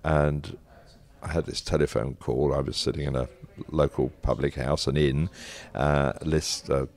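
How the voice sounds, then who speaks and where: A man's voice: a bass voice, fairly even intonation, unhurried. An older British man talking conversationally as an interview guest, telling anecdotes in a discursive, self-correcting way. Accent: British English. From Britain.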